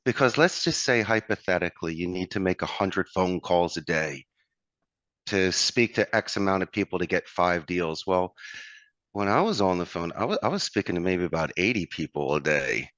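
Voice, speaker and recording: A man's voice: low voice, expressive, moderate pace, a bit bright, no echo, muffled recording. A man with a Philadelphia accent, a guest on an interview who talks in an expansive, motivational way. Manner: expansive, motivational, addresses host by name. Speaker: call center owner, guest. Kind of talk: conversational interview.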